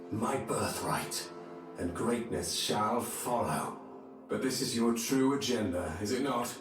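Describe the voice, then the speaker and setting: An actor playing an arrogant, scornful crusader warlord with a pompous, taunting delivery in a game cutscene. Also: male voice, mid-range voice. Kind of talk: dramatic video game cutscene acting. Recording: distant, very echoey, loud music behind.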